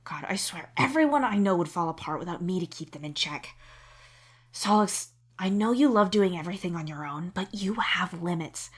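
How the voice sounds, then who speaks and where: An exasperated young man voiced by a woman in an audio story, scolding in a hushed but forceful way. He is sweary and irritable, with obvious care underneath. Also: lowish voice, lively intonation, normal speed, husky. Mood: scolding concern.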